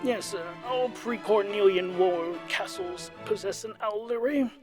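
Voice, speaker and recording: A man's voice: sits high, measured pace, soft background music, pitched above the performer's own voice. Man putting on a deferential, hesitant butler. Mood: dutiful, dry. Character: formal, patient male butler, dutifully reporting household woes.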